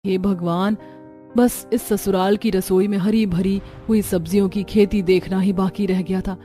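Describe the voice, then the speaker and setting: A woman's voice: medium pitch, fairly even intonation, a little slow, dark tone, soft background music, natural voice. A woman voicing a young, put-upon cartoon daughter-in-law with a plaintive, grumbling manner.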